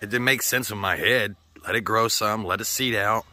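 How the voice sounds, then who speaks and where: A man's voice: fairly low pitch, normal speed, pushed and bright. An American man with a slightly sore throat, rambling casually and swearing often as he chats for a vlog. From United States. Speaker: vlogger. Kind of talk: casual chat.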